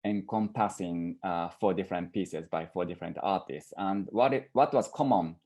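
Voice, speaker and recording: Low-voiced man, halting artist talk. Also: dark tone. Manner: halting, searching for words. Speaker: artist presenting own work.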